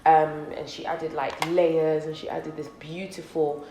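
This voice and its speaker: Young woman, low voice, slightly nasal, chatty rambling vlog, echoey office room. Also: flat delivery, measured pace, mellow, faint music behind. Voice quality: slightly nasal from a recent cold. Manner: rambling and chatty, talks to viewers as friends. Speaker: beauty vlogger. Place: co-working office space, indoors.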